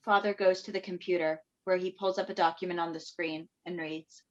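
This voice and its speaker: A woman's voice: on the low side, fairly even intonation, brisk. A woman narrating stage directions from a script, heard over a muffled video call.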